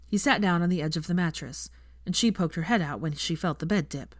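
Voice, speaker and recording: Low-voiced woman narrating, very dry sound. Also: fairly quick, no room sound. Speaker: fan fiction narrator. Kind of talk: scripted story narration.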